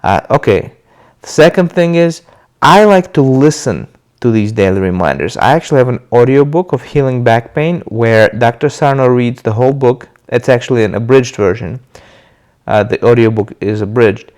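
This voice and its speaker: Casting note: man, mid pitch, stuffy from a cold, easy unscripted talk with frequent fillers. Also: moderate pace. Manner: relaxed, loose, with many uh fillers and restarts. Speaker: video host sharing his recovery. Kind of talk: casual talk to camera.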